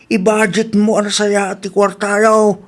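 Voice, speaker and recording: An expressive village fellow in a Filipino radio comedy. His voice is fairly high for a man, and he talks with an exhorting, theatrical delivery in a Filipino accent. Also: measured pace. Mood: earnest advising. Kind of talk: Ilocano radio comedy-drama acting.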